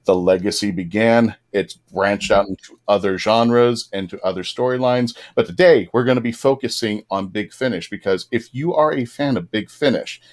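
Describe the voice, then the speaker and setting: A man's voice: on the low side, normal speed. Casual man hosting a fan panel. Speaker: panel host.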